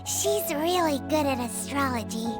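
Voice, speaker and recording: A woman voicing a tiny, childlike female companion sprite in a video game, chirpy, blunt and cheeky, with a squeaky voice. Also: lively intonation, measured pace. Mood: matter-of-fact, cheeky. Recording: game dialogue with music behind it.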